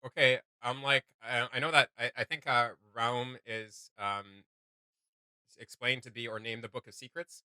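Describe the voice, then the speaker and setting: A man's voice: medium pitch, fairly even intonation, normal speed, pushed and bright, smooth, no echo. A man hosting a reaction video, chatting casually and thinking aloud in a hesitant way.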